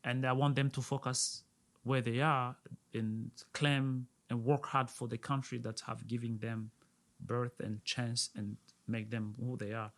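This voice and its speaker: A man's voice: mid-range voice, little pitch movement, measured pace, a bit bright. Earnest man from Burundi. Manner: earnest, repeats words when stressing a point. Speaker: restaurant owner, guest. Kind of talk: casual interview talk.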